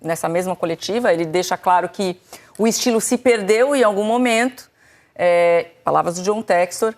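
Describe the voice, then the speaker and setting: A woman's voice: on the low side, normal speed, a little mellow. A woman, presenting and summarizing football news in a studio discussion. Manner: explains at length, summarizing and paraphrasing. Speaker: sports TV presenter.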